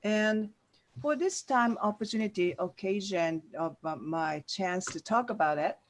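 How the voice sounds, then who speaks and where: Woman walking people thru a workshop on a video call, slow, lots of uh and restarts. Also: medium pitch. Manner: hesitant, halting, with many fillers. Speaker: workshop presenter. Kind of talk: online meeting presentation.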